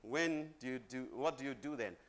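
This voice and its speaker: A man's voice: medium pitch, moderate pace, a bit bright. A middle-aged man from Zambia giving a chatty conference presentation on stage, joking with the audience. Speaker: conference speaker.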